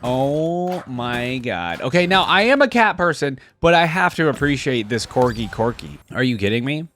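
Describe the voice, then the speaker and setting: A man's voice: medium pitch, very animated, moderate pace, fairly bright tone. Enthusiastic man reacting, with background noise. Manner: enthusiastic, exclamatory, filler-heavy casual slang. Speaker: gaming reaction video host. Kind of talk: casual reaction commentary.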